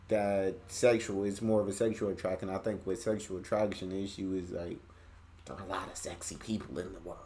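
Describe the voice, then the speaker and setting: American dude doing reaction vids, kinda low voice, slangy and chill, bit of hiss behind. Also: male voice, darkish tone. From United States. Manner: laid-back, slangy, chatty. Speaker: reaction channel host. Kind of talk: casual reaction commentary.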